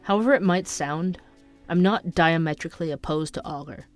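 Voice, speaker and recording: An actor playing a dogged, wry young male investigative podcaster in an audio drama, with a dry, measured, sardonic delivery. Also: medium pitch, faint music behind, close to an everyday voice. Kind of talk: scripted narration and dialogue, audio drama.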